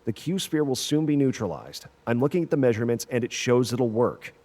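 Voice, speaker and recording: A self-assured male scientist in a sci-fi radio play. He explains things precisely and technically in a measured, medium-pitched voice. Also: expressive, a little mellow. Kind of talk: audio drama acting.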